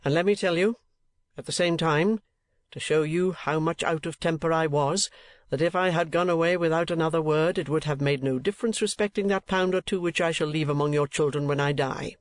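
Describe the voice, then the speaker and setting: A pompous older uncle, quick to take offence and sharp in his retorts, as a man voices him. He speaks a little higher than the narration and with added force. The storybook reading sounds dry. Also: dry recording, close to an everyday voice. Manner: sharp, huffy and self-important. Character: pompous, touchy older male rich uncle. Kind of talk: audiobook character voice.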